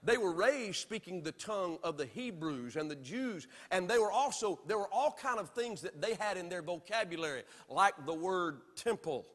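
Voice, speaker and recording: Southern preacher with a high voice. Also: male voice, lively intonation, moderate pace, few pauses, in a large hall. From Alabama. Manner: emphatic preaching with sudden swings between loud and soft. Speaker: pastor. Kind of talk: sermon. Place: church sanctuary.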